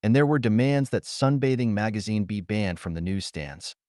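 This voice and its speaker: A man narrates pulp science fiction in a low voice. His pitch moves about a great deal as he reads, and the sound is dry and close. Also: animated, normal speed, darkish tone, no echo. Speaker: audiobook narrator.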